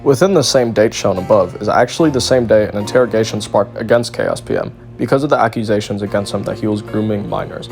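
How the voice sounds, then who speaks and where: A man's voice: on the low side, very animated, fast talker, creaky, few pauses, over music. A man delivering scripted narration in the style of an exposé documentary. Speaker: video narrator.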